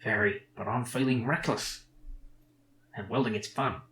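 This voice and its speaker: A man voicing a snarky, reckless little robot sidekick from an adventure game, with a deadpan manner. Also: mid-range voice, measured pace, a little hiss or hum, voice pushed down. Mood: reckless glee.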